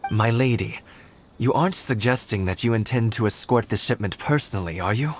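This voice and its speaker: A man's voice: mid-range voice, expressive, brisk, slight background noise, close to an everyday voice. A man playing a wary guard in a video game, formally respectful but doubtful. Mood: wary, incredulous. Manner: formal, hesitant objections.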